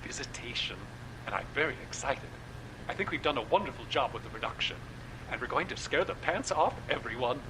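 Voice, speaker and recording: A man plays a genial horror producer who savors every spooky word. His voice rises and falls freely, and a steady noise hangs under the recording. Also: medium pitch, fairly quick, lightly acted. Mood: wry. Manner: theatrical, relishing spooky talk. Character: genial male horror-drama host being interviewed. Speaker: radio drama producer guest.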